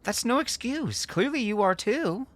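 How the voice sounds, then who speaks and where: A man's voice: very high-pitched, expressive, a little slow, a bit bright, pitched above the performer's own voice, full character voice. A concerned woman companion as a man narrator plays her in a storybook drama. She is firm and insistent, with a teasing, reassuring way of talking.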